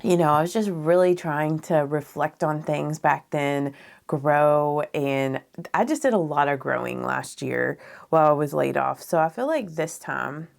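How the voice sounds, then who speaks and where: A woman in her thirties with a froggy, raspy voice, chatting candidly and ramblingly as a beauty vlogger doing a makeup tutorial indoors. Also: low voice, measured pace. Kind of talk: casual chat during makeup tutorial. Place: small furnished room indoors.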